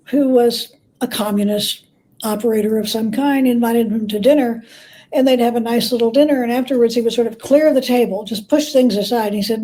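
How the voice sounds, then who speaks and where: A woman with a very mellow, slightly high voice speaks as an interview guest. She is a biographer who explains and tells anecdotes in a conversational style. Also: rough recording.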